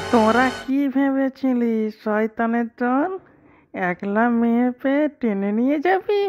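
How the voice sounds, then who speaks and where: Cartoon petni-type female spirit, high, very slow, menacing, cackling and mocking. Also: a little mellow, poor audio. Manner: drawn-out threats with cackling laughter.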